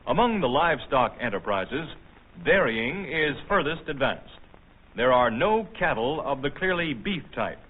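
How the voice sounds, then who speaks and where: An American man reading formal, measured, scripted narration in the manner of a 1950s documentary newsreel. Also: medium pitch, sing-song, slightly rough. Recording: tinny band-limited old film soundtrack.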